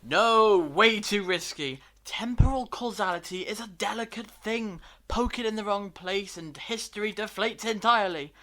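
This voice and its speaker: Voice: medium pitch, moderate pace, few pauses, bright, forceful tone, close to an everyday voice. A performer playing a clever, wordy time-travelling alien in a fan audio drama, lecturing with emphasis. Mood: firm, lecturing.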